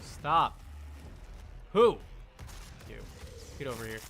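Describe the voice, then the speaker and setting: A man's voice: medium pitch, sing-song, slow, background noise. A man giving casual, wry live commentary as he plays a video game, often muttering to himself. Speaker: game streamer.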